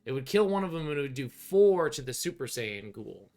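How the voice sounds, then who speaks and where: A man's voice: medium pitch, big pitch swings, brisk, a little mellow. A middle-aged man gives casual, wry play-through commentary on a solo tabletop game, thinking aloud as he goes. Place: small indoor room with bookshelves.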